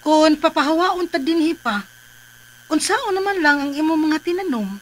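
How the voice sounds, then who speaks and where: A worried young farmer's daughter in a Filipino radio drama. Her voice is high, with a Cebuano accent. There is faint noise behind her. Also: animated, normal speed. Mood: gently questioning. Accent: Filipino, Cebuano-speaking. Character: worried 32-year-old married farmer's daughter telling her story.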